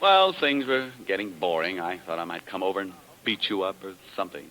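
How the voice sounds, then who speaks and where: An actor playing a wry, cool-headed private detective in a 1950s radio crime drama, glib and coaxing with a wisecracking manner. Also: male voice, sits low, expressive, a little fast, pushed and bright, rough recording. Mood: wry, teasing. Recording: old radio recording, faint music.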